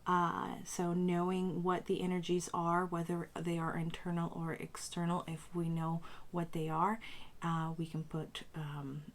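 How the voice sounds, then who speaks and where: A woman recovering from a cold with a strained voice, speaking haltingly as a tarot reader talking casually to her viewers. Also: on the low side, flat delivery. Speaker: tarot reader and channel host. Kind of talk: casual spiritual talk to camera.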